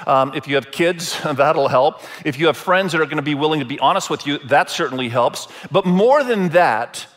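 Higher-voiced man preaching a sermon. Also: animated, a bit bright. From Indonesia. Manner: deliberate, repeats phrases for emphasis. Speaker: pastor preaching to a church congregation.

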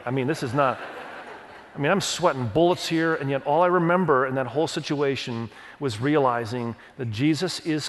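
A fairly high-voiced middle-aged man preaches in a church sanctuary, telling personal stories and reading scripture in an earnest way. Indonesian was his first language. His voice carries a long, hall-like reverb. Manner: earnest storytelling, leans on verses, quick restarts. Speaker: pastor.

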